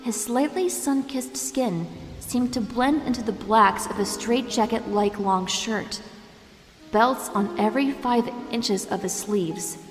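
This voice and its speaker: A woman's voice: fairly high pitch, takes it slowly. A woman playing a feisty young crime-fighting sidekick in a dramatic, sarcastic audio drama style. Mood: wry, narrating. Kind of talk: audio drama acting with first-person narration. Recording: echoey long reverb, music underneath.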